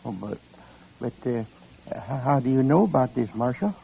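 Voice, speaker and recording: An American man playing a naive, anxious homesteader in an old-time radio western, earnest and hesitant, with a husky, folksy delivery. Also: medium pitch, big pitch swings, moderate pace, mellow, heavily acted. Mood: troubled, disbelieving. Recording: muffled old radio recording.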